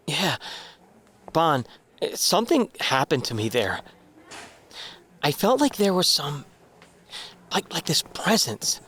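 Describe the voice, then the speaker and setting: An actor playing a troubled, earnest man in an audio drama, confiding in a halting way. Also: fairly high pitch, very animated, fairly bright tone, slight background noise, exaggerated voice. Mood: troubled, uneasy. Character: troubled, earnest man shaken by fear for his father. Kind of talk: naturalistic audio drama acting.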